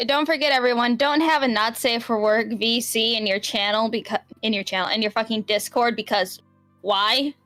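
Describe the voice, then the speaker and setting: A woman's voice: on the high side, steady tone, normal speed, no echo. A woman co-hosting a casual podcast, blunt and exasperated, swearing freely. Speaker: podcast co-host. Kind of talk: casual chat. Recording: soft background music.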